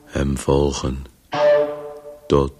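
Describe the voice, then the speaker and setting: An actor playing a weary, urgent disembodied voice in a radio play, speaking in breathless, halting fragments with a hushed, husky tone. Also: male voice, a bass voice, completely flat delivery, faint music behind, full character voice. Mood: weary, obsessive. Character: disembodied male Voice, obsessively chasing a story, weary and urgent.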